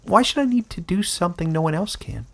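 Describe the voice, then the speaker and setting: A small, cheerful furry forest creature chatters along in a high, lively voice, as a man puts him on. He sounds gentle and wise, with a gravelly, husky edge. The voice climbs well above plain speech. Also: fast, heavily acted. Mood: puzzled.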